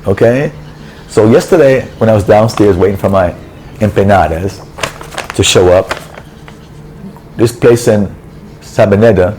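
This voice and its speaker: Travel vlogger, male, low and warm, exasperated storyteller, faint noise behind. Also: rough recording. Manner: exasperated, animated retelling with quoted dialogue. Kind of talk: casual storytelling rant. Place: apartment building in a city.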